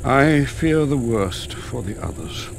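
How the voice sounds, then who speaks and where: An older man voicing an ancient, wise dragon keeper of books in a video game, speaking gravely and theatrically with drawn-out pauses. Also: medium pitch, sing-song, a little hiss or hum, heavily acted. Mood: solemn, resigned. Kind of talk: video game cutscene acting, solemn storytelling. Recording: loud music behind the voice. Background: loud cinematic game music.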